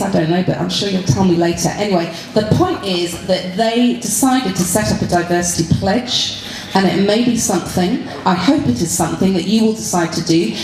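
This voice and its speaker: A woman's voice: mid-range voice, a little mellow, slight background noise. A woman giving an informal, joking after-dinner speech at a conference, chuckling between her points. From Britain. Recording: very echoey hall.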